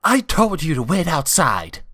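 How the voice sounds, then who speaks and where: A man's voice: fairly high pitch, sing-song, a little slow, sharp tone, pitched above the performer's own voice, exaggerated voice. A man voicing a sassy, exasperated female cartoon bat with a scolding, indignant delivery for a fan fiction reading.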